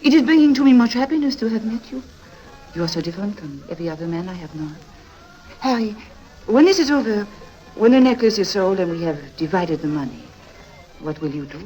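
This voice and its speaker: A woman's voice: mid-range voice, animated, brisk, warm tone, soft background music, lightly acted, rough recording. A woman playing an alluring, self-assured European countess in a 1950s radio drama, coy and coaxing, with a Central European accent and foreign phrasing. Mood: tender, wistful.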